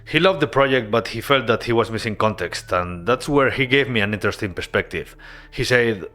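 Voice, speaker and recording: A man narrating his game-development devlog as a voice-over, earnest and relaxed, with casual asides. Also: medium pitch, a little fast.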